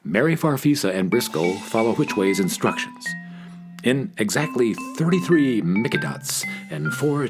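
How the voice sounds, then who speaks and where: A man narrates a children's radio adventure in a mid-pitched voice with lively rises and falls. He tells the scripted story to young listeners over clear background music.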